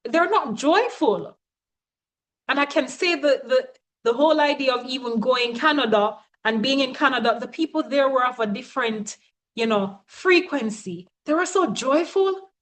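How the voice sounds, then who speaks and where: A woman's voice: high-pitched, animated, moderate pace, a bit bright. A woman coach giving a motivational teaching talk, speaking emphatically and often checking in with her listeners.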